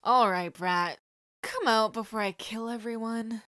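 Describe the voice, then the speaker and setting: A woman's voice: a little high, unhurried, a bit bright, no room sound, studio quality. A woman voicing a crude, menacing male kidnapper in an audio story, threatening and sneering.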